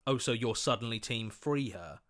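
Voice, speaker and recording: A man voicing an earnest, hopeful young hero in a video game, expressive and quick to protest. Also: medium pitch, close to an everyday voice. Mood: earnest, indignant.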